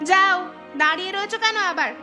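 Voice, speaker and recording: A woman's voice: extremely high pitch, fast, music in the background. A woman voicing a shrewish, nagging cartoon daughter-in-law with a sarcastic, scolding manner. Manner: nagging, sharp-tongued, sarcastic. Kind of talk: Bengali cartoon voice acting.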